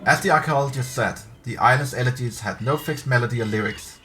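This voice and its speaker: A man's voice: mid-range voice, a little fast, pushed and bright, faint music behind. A middle-aged man reading a story aloud in a narrator's style, occasionally stumbling over words. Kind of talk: dramatic story read-aloud. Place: indoor room at a streaming desk. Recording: clear background ambience noise.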